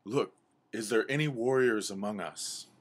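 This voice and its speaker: Wise storybook owl, voiced by a man, declamatory and earnest, slightly lifted pitch. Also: moderate pace, a little hiss or hum, close to an everyday voice. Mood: earnest appeal. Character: wise owl calling for warriors.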